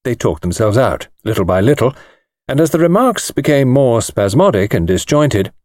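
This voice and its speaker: British male audiobook narrator for mystery fiction, lively intonation, very dry recording. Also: medium pitch, dry recording. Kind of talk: scripted narration of a mystery novel.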